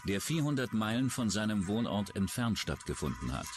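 A man's voice: lowish voice, sing-song, normal speed, heavy background noise. A man narrating a true-crime documentary in a scripted style. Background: background music.

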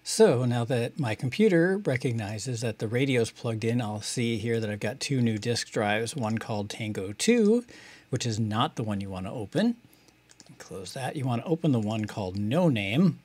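A man's voice: medium pitch, lively intonation, moderate pace, a little mellow, breathy edge. A middle-aged man with an American accent hosting a casual tutorial, explaining things step by step in a conversational way. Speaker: video tutorial host.

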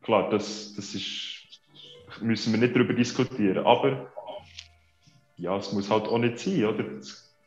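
A man's voice: lowish voice, unhurried, pauses often, darkish tone. A man in his thirties with a Swiss German accent, chatting casually as an interview guest on a video call. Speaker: interview guest, social media creator. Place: small room indoors on a video call. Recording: echoey, dull video-call sound, music behind.

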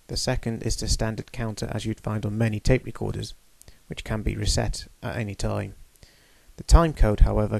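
A man giving a measured, technical demonstration of a studio machine. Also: on the low side, creaky, dark tone, no echo. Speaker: equipment demonstrator. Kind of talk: explanatory voice-over demonstration.

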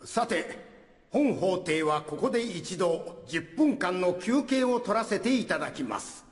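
A pompous but amiable old judge presides over a comic stage skit. His delivery is ceremonious and absent-minded, swinging widely in pitch, and it rings through a big hall. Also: lively intonation, a little slow. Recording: reverberant concert hall, faint music.

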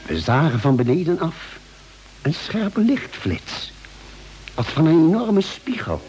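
An actor playing a calm ship's doctor who narrates a space expedition in a measured, articulate 1950s radio drama style. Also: male voice, fairly high pitch, slight background noise, low-quality recording. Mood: measured, suspenseful.

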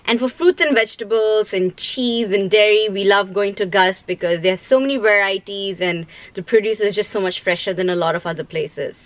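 A woman's voice: medium pitch, fairly quick, hardly any pauses, poor audio. Chatty woman vlogging through a grocery store. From India. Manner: chatty, explaining as she shops. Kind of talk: casual vlog walkthrough. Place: large indoor grocery store. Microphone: handheld camera close up.

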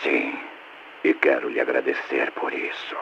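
A sinister male game villain, extremely low and gravelly. He speaks with smug, mocking politeness and measured menace. The sound is a muffled, noisy tape. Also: fairly even intonation, pushed and bright, heavily acted. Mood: condescending. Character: calm, condescending, sinister male mastermind villain. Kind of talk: dubbed video game acting. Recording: muffled noisy taped radio-like recording.

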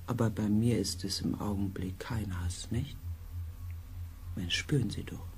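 A man playing an earnest, compassionate expedition researcher who tells his story gently and deliberately in a sci-fi radio play. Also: lowish voice, creaky, a little hiss or hum. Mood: soothing, concerned. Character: earnest, compassionate male space-expedition researcher recounting events. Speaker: narrator and character in a radio play. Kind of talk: German sci-fi radio drama acting.

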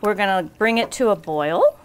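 A woman's voice: mid-range voice, animated, normal speed, clean tone. A middle-aged woman hosting a cooking show in a home kitchen, chatting casually through each step with frequent ums and restarts.

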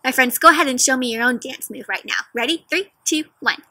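A young woman reads a picture book aloud for small children in a high, strikingly bright voice. She is animated and teacherly, pausing to put questions straight to her young listeners. The sound is dry and close, with very little echo. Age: young adult. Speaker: children's read-aloud host. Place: outdoors in a leafy garden.

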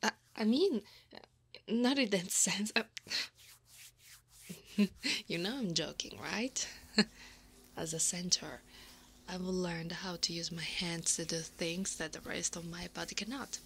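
Soft whispering centaur woman, acted by a woman, teasing. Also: lowish voice, slow, pauses often, a little hiss or hum. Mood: playful, teasing. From Italy. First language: Italian. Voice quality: breathy, often whispering. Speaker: ASMR roleplay performer. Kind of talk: ASMR roleplay.

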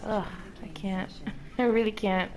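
A woman's voice: medium pitch, fairly even intonation, a little slow, poor audio. A woman giving casual gameplay commentary in a sweary, exasperated way, chuckling often, with a slightly sick, sore-throated voice.

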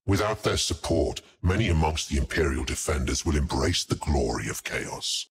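An actor playing a silky, sinister male lieutenant of Chaos in a game cutscene, speaking in a grandiose, deliberate and menacing way. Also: rumbling low, animated, very noisy. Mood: conspiratorial. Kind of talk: dramatic game cutscene acting. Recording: voice under very loud music. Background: loud music.

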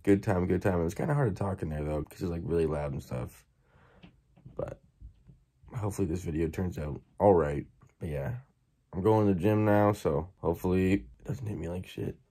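A hoarse young man rambles in easygoing slang, his voice low and gravelly. He talks into a phone held at arm's length in a small bedroom. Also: moderate pace, mellow. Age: young adult. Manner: laid-back, slangy, rambling. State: hoarse after a night out. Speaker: vlogger. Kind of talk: casual vlog talk to camera. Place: bedroom, indoors.

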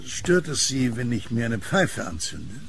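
Gravelly, curious gentleman. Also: medium pitch, sing-song, unhurried, slight background noise. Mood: curious, sceptical. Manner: courteous, inquisitive. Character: polite, curious, sceptical gentleman listener with a pipe. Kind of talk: German horror radio drama dialogue.

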